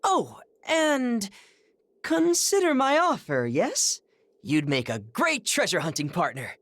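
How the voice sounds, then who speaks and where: A woman's voice: mid-range voice, wildly swooping pitch, slow pace, no echo. A woman voicing a flamboyant, grand-talking treasure-hunting adventurer in a game dub. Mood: grandiose. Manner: theatrical, grand, playful. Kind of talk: video game voice acting.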